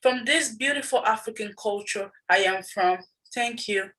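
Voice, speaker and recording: A woman's voice: a little high, measured pace, fairly bright tone. A woman reading her own poem aloud in an earnest recitation. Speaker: student poet. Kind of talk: poetry reading. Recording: distant mic.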